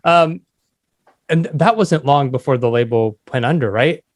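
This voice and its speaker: A man's voice: mid-range voice, a little slow, no room sound. A man hosting a podcast, chatting casually and asking questions in an interview. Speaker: podcast host.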